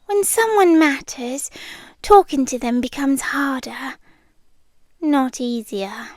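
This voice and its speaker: High, slow, whispery British monster girl, acted by a woman. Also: no room sound. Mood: shy, confessional. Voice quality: soft, breathy, often dropping into whispers. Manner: slow, hesitant, tender delivery. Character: shy, smitten female monster living under the bed. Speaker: ASMR roleplay performer. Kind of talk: ASMR roleplay, intimate monologue. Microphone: close microphone, dry.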